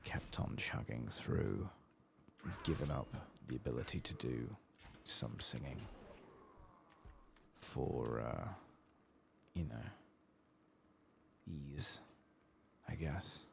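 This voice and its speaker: A soft-spoken man doing an ASMR game playthrough, hushed and sometimes whispering, musing in a reflective, hesitant way as he plays, close to the microphone. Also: extremely low pitch, lively intonation, slow pace, vocal fry, pauses often, a little hiss or hum. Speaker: ASMR gaming video host.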